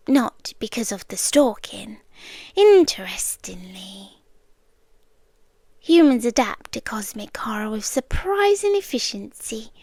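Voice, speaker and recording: A woman plays an immortal cosmic yandere with a British accent and a highish female voice. She speaks very slowly and softly, often slipping into whispers, tender yet possessive. It is an intimate ASMR roleplay, recorded close and dry. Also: no echo, heavily acted. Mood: dry, amused. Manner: soft, deliberate, often dropping into whispers. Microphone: close microphone.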